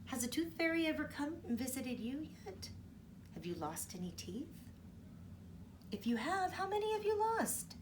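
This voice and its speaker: A woman's voice: high-pitched, moderate pace, recorded from a distance, noisy background. A woman teacher reading a storybook aloud to children, stopping often to ask them questions. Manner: expressive, asks the children questions. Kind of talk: storybook read-aloud for kids.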